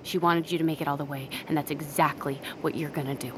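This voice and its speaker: An actress playing a tough, foul-mouthed medic in an audio drama, blunt and commanding. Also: female voice, low voice, steady tone, fast, noisy background, natural voice. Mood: grimly determined. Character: tough, foul-mouthed female medic keeping a friend awake.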